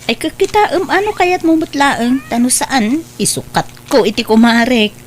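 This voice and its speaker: A woman playing a kindly, caring mother in an audio drama, gentle and solicitous, with a Filipino accent. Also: sits high, moderate pace, a little hiss or hum, lightly acted. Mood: caring, motherly.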